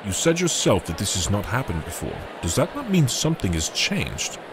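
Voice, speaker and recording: A low-voiced earnest young captain. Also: male voice, wildly swooping pitch, over music. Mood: thoughtful, resolute. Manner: formal, measured, earnest. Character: earnest young newly named captain of fantasy warriors. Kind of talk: fantasy audio drama acting.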